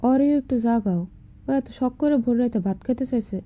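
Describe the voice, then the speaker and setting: A woman's voice: on the high side, fairly quick, muffled recording, natural voice. A Bangladeshi woman playing a concerned relative in an amateur cartoon. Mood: urging.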